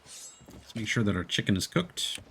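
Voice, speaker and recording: A man streaming a video game, chatting along in a relaxed, joking way and laughing often. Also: fairly low pitch, moderate pace, gravelly, a little hiss or hum. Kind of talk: casual gameplay commentary.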